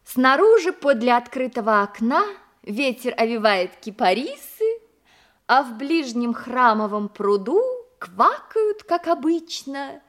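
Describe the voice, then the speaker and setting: An actress playing a vain, pampered, coquettish courtesan of ancient Greece in a theatrical audio drama, with an affected, gushing manner. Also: female voice, high-pitched, sing-song, slow pace, fairly bright tone, exaggerated voice. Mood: dreamy, coquettish.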